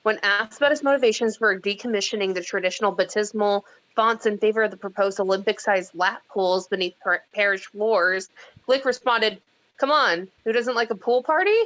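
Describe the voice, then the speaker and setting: A woman's voice: mid-range voice, normal speed, a bit bright, no echo, highs cut off, lightly acted. A woman reading the part of a skeptical, reluctant heroine with a dry manner in a film script read-through. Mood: dry, resigned.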